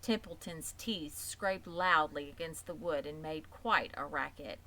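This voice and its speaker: A woman's voice: low-pitched, unhurried, bright, forceful tone. Woman reading a children's story. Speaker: audiobook reader. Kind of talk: scripted children's story narration.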